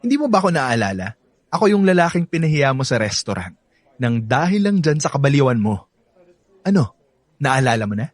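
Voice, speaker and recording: Cocky Filipino playboy, animated pitch. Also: male voice, brisk, pauses often, no echo. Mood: smug, argumentative. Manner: boastful, teasing, code-switches into English. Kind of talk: Filipino radio drama acting.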